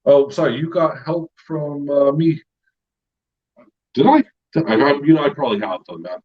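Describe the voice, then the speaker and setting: Middle-aged American host, husky voice, muffled stream audio, rambling chat. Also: male voice, on the high side, moderate pace. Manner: rambling, with many fillers and laughs. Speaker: YouTube channel host; retired paramedic. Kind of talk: casual live-stream chat.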